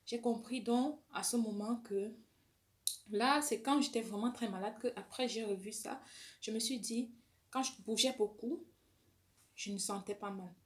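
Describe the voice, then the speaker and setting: A woman's voice: a little high, measured pace. A woman vlogger talking earnestly in a personal monologue, often restarting her phrases. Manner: earnest, testimonial, with frequent restarts. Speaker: health vlogger sharing her story.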